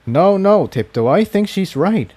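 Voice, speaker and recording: A man voicing a modest young male unicorn pony, soft-spoken and earnest, in a storybook reading. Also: sits high, lively intonation, unhurried, no room sound, voice pushed up.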